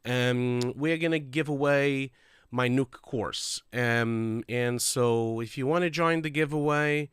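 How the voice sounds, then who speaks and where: A congested man with a nasal voice talks chattily to his viewers on a livestream. His tone is smooth and clear, and a close microphone picks up his breathing. The sound is dry and close, with no room around it. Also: medium pitch, slow pace, fairly bright tone, no echo. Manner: chatty and upbeat, addressing viewers directly. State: congested from a cold, audible breathing. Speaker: host of a livestreamed call-in show. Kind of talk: casual livestream chat. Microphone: close streaming microphone.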